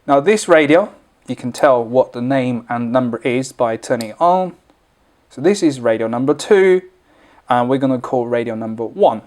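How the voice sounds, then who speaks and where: A man's voice: mid-range voice, expressive, moderate pace, darkish tone. A man presenting an informal, unscripted product tutorial, explaining things step by step as he demonstrates.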